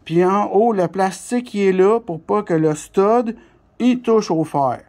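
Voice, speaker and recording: High-pitched man with a Québécois accent. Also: a little slow, dark tone. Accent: Québécois French. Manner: blunt, colloquial, mildly crude. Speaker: CB radio shop specialist presenting a product tutorial. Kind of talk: casual unscripted hands-on demonstration.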